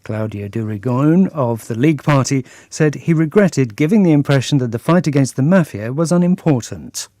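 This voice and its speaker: Dark, dry-voiced male newsreader. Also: medium pitch, animated, no echo. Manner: measured, formal delivery. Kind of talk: scripted news reading.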